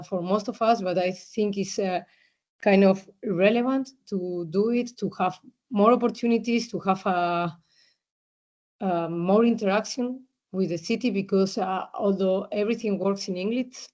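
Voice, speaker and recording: A woman presents as a guest speaker, often pausing with fillers and restarting sentences. Her pitch sits in a middle range. The sound is a little dull, like a video call. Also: a little mellow. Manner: hesitant, many fillers and restarts. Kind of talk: online presentation talk. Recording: muffled video call audio.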